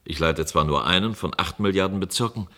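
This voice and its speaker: An actor playing a curt, commanding and suspicious district deputy in a German science-fiction radio play. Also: male voice, low-pitched, a little fast, bright, forceful tone. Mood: stern. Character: imperious, distrustful male district official, a dystopian deputy.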